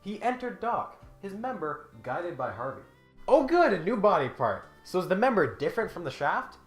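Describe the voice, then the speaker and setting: Man, comedic video narrator, animated pitch, sardonic and exasperated, roomy sound, faint music behind. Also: moderate pace. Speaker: host of a comedic critique video. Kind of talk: scripted comedic narration with mock-serious readings and exasperated asides.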